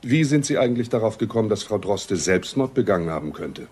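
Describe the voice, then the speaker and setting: An actor playing a sober, probing police detective in a German radio crime drama, questioning in a measured, matter-of-fact way. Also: male voice, fairly low pitch, very animated, a little mellow, husky.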